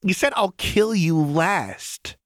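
A man's voice: high-pitched, animated, fairly bright tone, no echo, studio quality, pitched above the performer's own voice, exaggerated voice. A man voicing a lanky, manic young woman, teasing and awkward with affection, in a story reading. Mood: breathless amusement. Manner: teasing, halting when flustered.

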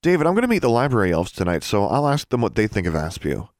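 Man voicing a lively, headstrong schoolgirl. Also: fairly low pitch, fast talker, no echo, studio quality. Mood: defensive. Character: headstrong, sharp-tongued schoolgirl.

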